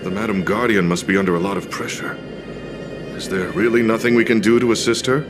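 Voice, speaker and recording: A man's voice: fairly low pitch, steady tone, fairly quick, over music, lightly acted. An actor playing a principled, dutiful, uncompromising man with a formal, emphatic delivery in a game. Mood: troubled. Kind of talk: game cutscene voice acting.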